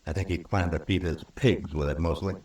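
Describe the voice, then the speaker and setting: Frontier marshal, male actor, American, deep voice, stern and deliberate, old radio-western delivery, echoey recording. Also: steady tone, darkish tone, close to an everyday voice. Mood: grim, pointed. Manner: firm, deliberate, moralizing. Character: stern, principled Old West U.S. marshal, grave and weary. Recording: roomy, distant vintage radio recording.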